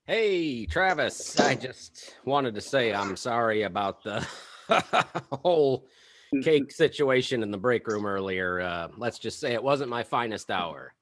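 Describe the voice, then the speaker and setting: A man's voice: mid-range voice, expressive, a little slow, fairly bright tone. A man playing an awkward, apologetic and tactless office worker in a comedy table read, hesitant and rambling. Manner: hesitant, rambling, nervous chuckles.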